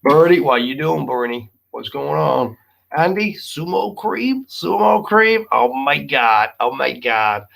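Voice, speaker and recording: A man's voice: medium pitch, animated, measured pace, creaky, fairly bright tone. A man hosting a live stream, chatting playfully and reading out viewer comments while losing his voice. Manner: playful and jokey, repeating exclamations.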